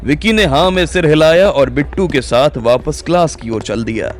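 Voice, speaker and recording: A man's voice: on the high side, lively intonation, moderate pace. A man narrating a scripted audio drama story as its storyteller. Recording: music bed under the voice.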